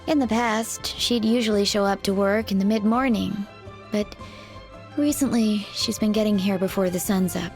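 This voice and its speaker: A woman's voice: medium pitch, a little mellow, natural voice. A woman playing a polite, composed, matter-of-fact undertaker in a video game, speaking formally and courteously. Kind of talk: video game character dialogue.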